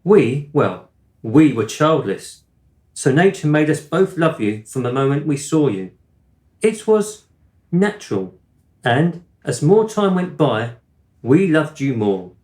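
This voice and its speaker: A man acts a gentle middle-aged father in a radio drama. His voice sits fairly high and moves in very varied swoops, wistful and a little absent-minded. The sound is roomy and echoing. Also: very animated, takes it slowly. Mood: tender. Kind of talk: radio play acting.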